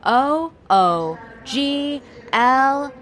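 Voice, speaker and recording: A woman's voice: high-pitched, lively intonation, extremely slow, a bit bright, full character voice. A woman acting as a chirpy, exaggeratedly polite airport information clerk in a comic audio drama. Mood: chirpy, helpful. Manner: exaggeratedly polite, sing-song service voice.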